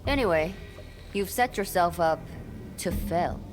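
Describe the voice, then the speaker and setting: Lowish, no-nonsense woman officer. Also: animated, measured pace. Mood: dry teasing. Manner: dry, curt, teasing. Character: no-nonsense, teasing young woman officer on a mission. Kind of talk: anime game voice acting. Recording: game music behind.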